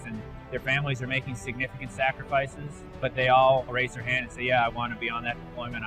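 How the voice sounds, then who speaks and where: An American man in his forties speaks as a military officer in a documentary interview. His voice sits at a medium pitch, with an earnest, explanatory manner. Music plays clearly behind him.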